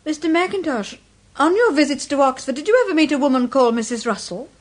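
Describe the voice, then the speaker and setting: An actress playing a detective's gracious, inquisitive wife in a British radio drama. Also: female voice, sits high, expressive, quick pace. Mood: polite, pointed.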